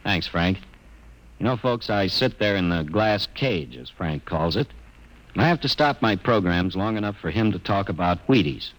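A man's voice: deep voice, lively intonation, normal speed, rough recording. A man giving a folksy, scripted endorsement in an old radio commercial.

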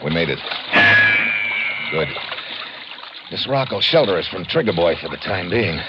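An actor playing a tough, wry American adventurer, brisk and slangy, in an old radio drama. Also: male voice, low-pitched, animated, highs cut off, loud music behind the voice. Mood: firm, reassuring. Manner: brisk, slangy, take-charge. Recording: noisy old radio recording.

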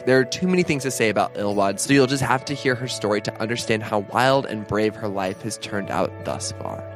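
A man's voice: lowish voice, lively intonation, a little fast, music in the background. A man hosting a podcast, reading an earnest introduction and then asking questions in a casual interview. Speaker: podcast host.

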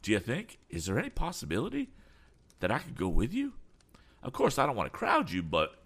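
A man voicing an excitable, fussy, eccentric older gentleman in a storybook, chattering nervously with quaint exclamations. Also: medium pitch, brisk, breathy edge, voice pushed up, heavily acted. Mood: excited, eager.

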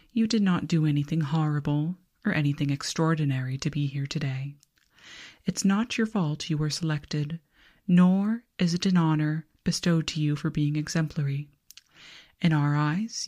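Give the voice of a calm alien girl researcher, performed by a woman for an ASMR roleplay. She whispers and murmurs low and dark, with breathy softness. Her phrasing is slow, formal and soothing, with a detached, faintly condescending politeness. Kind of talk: ASMR roleplay, hypnotic whispering and soft speech.

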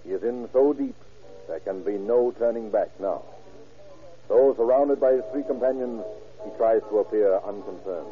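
A man narrating a crime story in a measured, grave, old-time radio drama style. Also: on the low side, faint music behind. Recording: tinny, noisy vintage radio recording.

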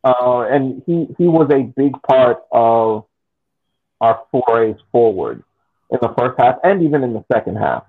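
An American man co-hosting a podcast, chatting casually about a soccer game in a halting way with frequent restarts. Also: medium pitch, sing-song. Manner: halting, restarts often, laughs easily. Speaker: podcast co-host. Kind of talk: casual sports chat. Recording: tinny phone-like line.

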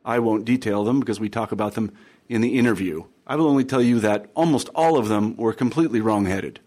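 A man's voice: lowish voice, a little mellow. A man hosting a history book podcast, reading an introduction and then chatting with a guest, with some fillers and restarts. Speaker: podcast host. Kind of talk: scripted intro then interview.

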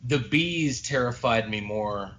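A man in a casual podcast chat, joking crudely and talking in a lively way. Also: mid-range voice, slow pace, slight background noise.

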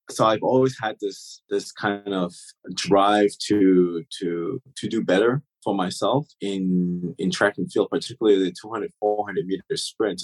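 Low-voiced man telling travel stories. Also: low-quality recording. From Turks and Caicos. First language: German. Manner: relaxed, many fillers and restarts, laughs while talking. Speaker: interview guest. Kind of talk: casual interview storytelling.

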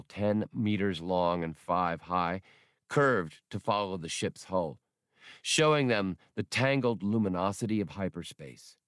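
Male audiobook reader for science fiction, fairly low voice, dry studio-like sound. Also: no echo. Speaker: audiobook narrator. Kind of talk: scripted narration of a science fiction novel.

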